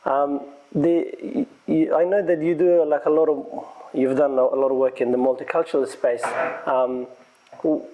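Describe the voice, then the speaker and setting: A man's voice: on the high side, fairly even intonation, moderate pace, phone-quality sound. A man hosting a podcast in a casual conversational style, feeling his way through questions and rephrasing them midway. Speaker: podcast host.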